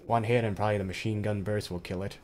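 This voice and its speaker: A man's voice: lowish voice, steady tone, fast, darkish tone, a little hiss or hum. A man with a Canadian accent, casually co-commentating a strategy game match and chuckling often. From Northern Canada. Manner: laid-back, chuckles often.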